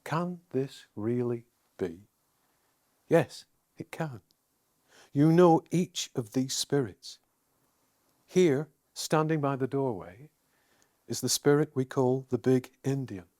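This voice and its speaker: Male meditation guide: unhurried, soothing, deliberate pauses, dry close sound. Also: medium pitch, dark tone, no room sound.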